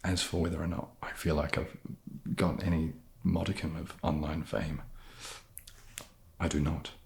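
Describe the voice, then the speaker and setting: A man answering questions in a soft-spoken, casual, laid-back way with dry humour. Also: very deep voice, level tone, normal speed, gravelly. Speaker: ASMR video creator answering fan questions.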